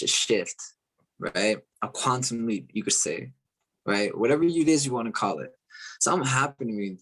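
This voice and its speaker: A man's voice: on the low side, low-quality recording. Motivational male host from Chile, repetitive and enthusiastic. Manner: enthusiastic, repeating phrases with frequent "okay?" and "right?" tags. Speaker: host of an online group call. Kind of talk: motivational talk to a live online audience.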